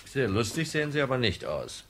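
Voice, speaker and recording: An actor playing a cool, shrewd insurance detective in a radio crime drama, matter-of-fact and in control. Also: male voice, medium pitch, sing-song, a little fast, a bit bright. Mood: brisk, dry.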